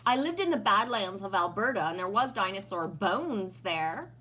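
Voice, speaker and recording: A woman's voice: mid-range voice, very animated, moderate pace, bright, forceful tone, a little hiss or hum. A woman in her fifties from Texas, hosting a casual livestream, teasing playfully, laughing often and reading out chat comments. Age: 50s.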